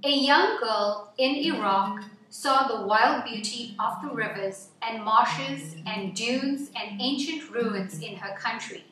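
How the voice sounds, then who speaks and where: A woman reading a nonfiction picture book aloud to children in an expressive, deliberate storytelling way, phrase by phrase. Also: a little high. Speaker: children's story-time reader. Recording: echoey room, distant mic, music underneath.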